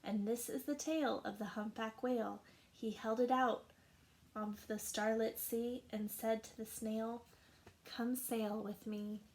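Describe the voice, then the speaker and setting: Slow, expressive woman reading a storybook. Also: on the high side, low-quality recording. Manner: slow, expressive rhyming narration. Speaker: teacher reading a picture book aloud. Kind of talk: storybook read-aloud.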